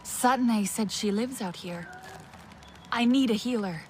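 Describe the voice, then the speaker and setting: A woman's voice: a little high, takes it slowly, darkish tone, slight background noise. An actress playing a determined young female outsider who earnestly pleads for help.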